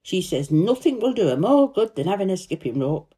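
A woman voicing a cheerful, warm-hearted young Yorkshire housemaid in a storybook, chatty and blunt in dialect. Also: mid-range voice, very animated, a little fast, husky. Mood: encouraging.

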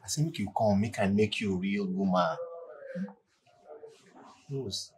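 An actor with a Nigerian accent playing a lecherous, boastful male customer who flatters and pesters a shop attendant. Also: on the low side, slow, exaggerated voice. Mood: flirtatious. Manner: smooth-talking, leering flattery.

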